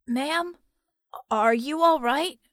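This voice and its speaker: Lady doing a sweet brave kid, boy, highish voice, coaxing and calm, audiobook. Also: drawn-out words, no room sound, studio quality, full character voice. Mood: gentle concern. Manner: gentle, coaxing, reassuring. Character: kind, sunny, quietly brave young boy.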